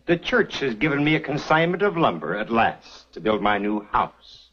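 An actor playing a pompous, domineering middle-aged church elder in a 1950s television western, formal and self-important. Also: male voice, mid-range voice, sing-song, normal speed, fairly bright tone, breathy edge. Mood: smug, commanding. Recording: muffled old TV soundtrack.

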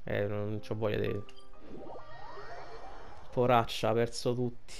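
A man's voice: on the low side, level tone, slow pace, gravelly, soft background music. A young adult man giving casual, colloquial, emotionally invested game commentary, with some swearing. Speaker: game streamer.

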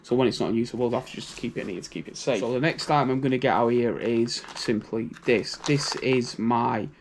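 A man's voice: medium pitch, fairly quick, a little hiss or hum. A man with a British accent giving a casual, rambling walkthrough of his gear, often adding "you know". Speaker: prepping channel host.

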